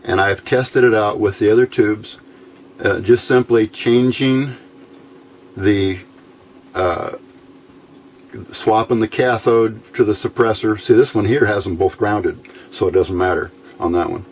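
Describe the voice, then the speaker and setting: A man giving an informal, unscripted hands-on tutorial in a plain-spoken, halting way, recorded indoors at a workbench on a camera mic. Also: lowish voice, slight background noise. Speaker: host of a hands-on electronics tutorial.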